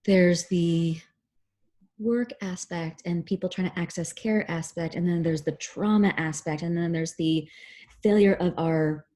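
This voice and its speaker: A woman in her thirties from Oklahoma, a physician talking casually as a guest on a video call, relaxed and chatty with many fillers. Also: lowish voice. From Oklahoma, USA.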